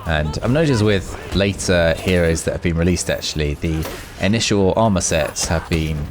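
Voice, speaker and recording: A man's voice: deep voice, normal speed. A man hosting a gaming video, talking in a casual, chatty, rambling way as he gives his commentary. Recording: noisy with clear background noise.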